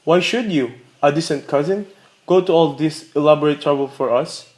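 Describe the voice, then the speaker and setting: A man's voice: a little high, moderate pace, a little mellow, lightly acted. An actor playing a kindly, courteous, wistful older father in a radio play. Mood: puzzled, paternal.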